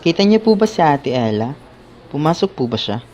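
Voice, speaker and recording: A man's voice: on the high side, sing-song, normal speed, a little mellow, a little hiss or hum. A man playing a polite, dutiful teenage brother in an amateur radio drama. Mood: polite, concerned. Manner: polite, deferential to elders. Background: background music.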